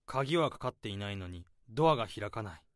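A man in his thirties narrating a radio drama in the first person, in a slow, subdued, deadpan way. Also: on the low side, dry recording, studio quality. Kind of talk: radio drama inner monologue.

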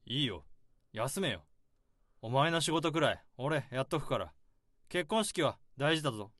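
An actor playing a calm, understated, overworked male office worker in a radio drama who also speaks his inner monologue. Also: mid-range voice, moderate pace, no echo, studio quality, natural voice.

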